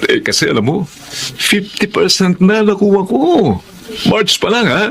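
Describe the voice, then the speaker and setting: A man playing a chummy, boastful sales colleague in a Filipino radio drama, animated, often tagging his phrases with a questioning sound. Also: high-pitched, moderate pace, vocal fry, husky, full character voice. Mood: smug, friendly.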